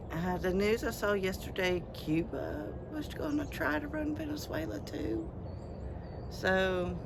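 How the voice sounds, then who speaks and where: Unhurried, slightly scratchy vlogger outdoors. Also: medium pitch. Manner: rambling, pausing, unhurried. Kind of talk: casual outdoor chat to viewers. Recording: outdoor noise, passing vehicles.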